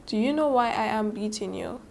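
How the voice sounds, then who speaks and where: A woman's voice: mid-range voice, fairly quick, vocal fry, a little mellow, a little hiss or hum, lightly acted. A teenage girl with a Saint Lucian accent voicing a stern, impatient father in a storybook reading, clipped and commanding. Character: stern, impatient father scolding his daughter.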